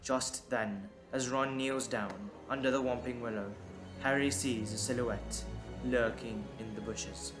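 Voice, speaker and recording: A man's voice: mid-range voice, fairly even intonation, unhurried. A man reading scripted dramatic narration as the narrator of an audio drama. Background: music.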